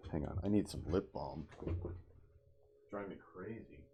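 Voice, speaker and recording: A man reading a story aloud in a hushed ASMR style, with casual asides. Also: low voice, a little slow, gravelly, slight background noise. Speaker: reader, livestreamer.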